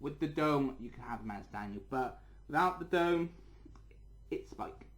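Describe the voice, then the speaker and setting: A man's voice: mid-range voice, lively intonation, moderate pace, a bit bright. A man hosting a casual channel update, rambling and repeating his words and phrases as he talks to viewers. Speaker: channel host and toy reviewer. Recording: echoey room.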